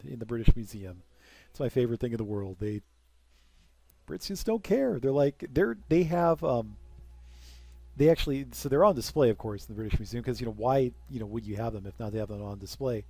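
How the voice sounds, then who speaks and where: A man's voice: mid-range voice, animated, normal speed, creaky, dark tone, no echo. A young man casually commenting while playing a strategy game, rambling with self-deprecating jokes and asides. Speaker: let's play commentator.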